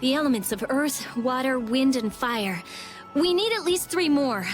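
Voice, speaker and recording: A woman voicing a brisk, brainy, prickly teenage girl mage in a video game. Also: high voice, expressive, soft background music. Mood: analytical.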